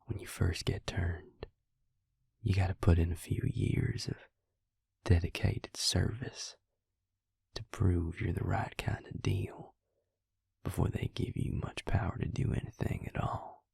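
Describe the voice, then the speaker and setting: Man acting husky, whispering devious vampire. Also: low voice, steady tone, unhurried, a little mellow, dry recording, studio quality, exaggerated voice. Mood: smug, explaining. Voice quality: husky, breathy, gravelly half-whisper. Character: devious male vampire recruiter, smug, coolly menacing, manipulative. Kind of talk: intimate whispered audio roleplay, addressed to the listener. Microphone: close, dry microphone.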